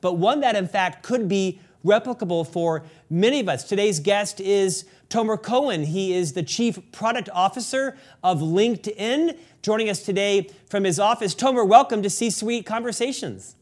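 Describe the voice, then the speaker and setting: A man's voice: sits high, moderate pace, hardly any pauses, darkish tone. A man hosting an interview podcast, speaking in a polished, formal and wordy presenter style. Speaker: podcast host. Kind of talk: scripted podcast introduction and interview questions.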